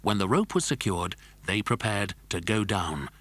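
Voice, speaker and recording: A man's voice: fairly low pitch, expressive, normal speed, fairly bright tone, breathy edge, no echo. A man narrating a scripted children's adventure story. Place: dry studio.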